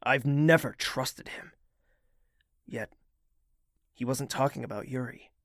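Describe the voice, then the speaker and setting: An actor playing a weary, suspicious male royal in an audio drama, speaking and narrating in a measured, brooding way. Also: medium pitch, a bit bright, no echo, studio quality. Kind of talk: audio drama acting and first-person narration.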